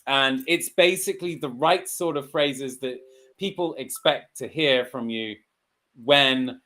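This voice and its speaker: A man's voice: mid-range voice, a little slow, sharp tone. A man hosting a casual online lesson, chatty, with frequent laughs and fillers. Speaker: host and English teacher.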